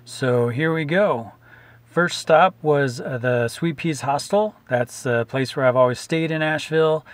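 A man in his thirties recaps his trip in a relaxed, chatty vlog voice, with plenty of "uh" fillers. He sits in a car at night talking straight into a close camera. His voice sits a bit high for a man, and the sound is dry with no room echo. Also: unhurried. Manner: easygoing, with frequent "uh" fillers. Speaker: vlogger and musician. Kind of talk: casual travel vlog narration. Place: inside a parked car at night. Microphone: camera facing him at close range.

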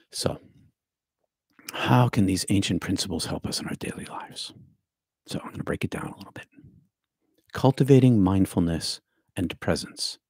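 A man's voice: deep voice, darkish tone, husky, dry recording. An older man giving a scripted spiritual talk from a home study, reading from notes and dropping to a near whisper for emphasis, close on a studio mic. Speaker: spiritual teacher. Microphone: studio mic on stand with headphones.

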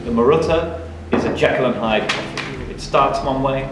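A man's voice: mid-range voice, moderate pace, heavy background noise. A young man hosting a casual coffee tasting in a small cafe, enthusiastic and thinking aloud, recorded by a far camera mic. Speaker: coffee reviewer and show host. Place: dim cafe interior, in a small room. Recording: roomy, distant, with music behind. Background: background music.

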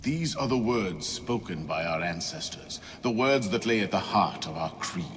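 An actor playing a solemn assassin master who leads an initiation rite in a grave, oratorical manner in a game. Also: male voice, on the low side, lively intonation, moderate pace, a bit bright, in a large hall, slight background noise. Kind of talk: ceremonial video game acting.

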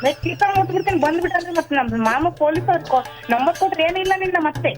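A woman on a phone call speaks in a very high voice at a quick clip. Music runs behind her. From Karnataka. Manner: combative, insistent. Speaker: prank call target. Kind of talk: heated phone conversation.

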